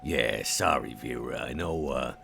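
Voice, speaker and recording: A man playing a gruff, streetwise character in a tabletop game, wry and halting, with folksy slang. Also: a bass voice, exaggerated voice. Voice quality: gravelly. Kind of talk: tabletop role-play in character. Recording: music playing behind the voice.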